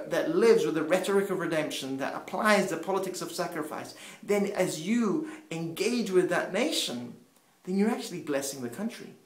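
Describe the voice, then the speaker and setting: Earnest Peru-raised preacher, Spanish native, echoey room. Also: male voice. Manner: earnest, builds emphasis then drops low. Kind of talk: sermon-style teaching.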